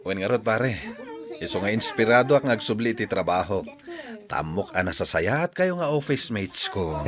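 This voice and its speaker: A man's voice: fairly low pitch, animated, moderate pace, crowd noise, close to an everyday voice. A man with an Ilocano accent acting a stubborn, grumbling office worker recovering from a mild stroke in a radio drama. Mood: grateful.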